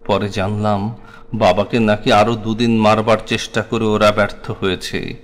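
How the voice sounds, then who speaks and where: A man's voice: on the low side, little pitch movement, normal speed. A man with a Bangladeshi accent reciting a scripted memoir in a solemn, dramatic, literary way. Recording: long hall-like reverb.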